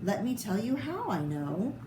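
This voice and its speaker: Slow-paced American woman reading to children, echoey room. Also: mid-range voice. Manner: expressive, unhurried, pointing out pictures to young listeners. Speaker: storyteller reading picture books to children. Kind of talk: read-aloud picture book narration with asides to listeners. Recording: echoey room with clear background noise.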